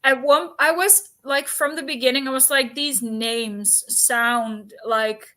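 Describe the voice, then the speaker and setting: A woman's voice: high-pitched, steady tone, slow, sharp tone. A woman chatting casually and explaining things in an informal, talkative way, with asides. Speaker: book discussion co-host. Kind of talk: casual chat about books and history.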